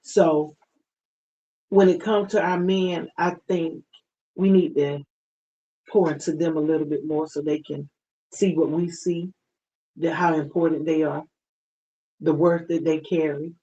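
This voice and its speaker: A soft-voiced woman speaking as a guest on a radio talk show, earnest and heartfelt. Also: low voice, steady tone, measured pace, pauses often, highs cut off. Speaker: guest, parent advocate. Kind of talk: interview talk.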